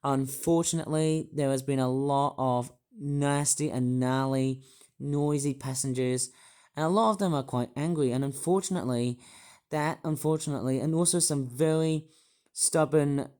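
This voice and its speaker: A man with a hoarse, croaky voice, rambling hesitantly through a personal vlog monologue with many restarts. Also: medium pitch, moderate pace, warm tone. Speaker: YouTuber.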